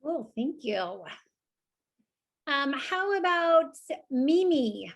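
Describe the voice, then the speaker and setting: High-voiced, chatty woman teacher. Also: sing-song, drawn-out words. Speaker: teacher hosting a student question session. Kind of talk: casual, friendly moderating of children's questions.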